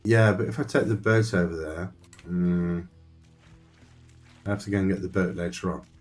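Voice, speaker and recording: A man's voice: low voice, moderate pace, soft background music. A man thinking aloud and chatting with viewers while playing a survival game on a live stream. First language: Dutch. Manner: rambling, thinks aloud, talks to chat.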